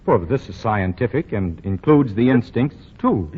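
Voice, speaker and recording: A man's voice: lowish voice, expressive, normal speed, slightly rough. An actor playing a self-assured young male store boss in a 1940s radio drama who is pompous and stammers and chuckles. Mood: fussy. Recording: dull 1940 radio broadcast.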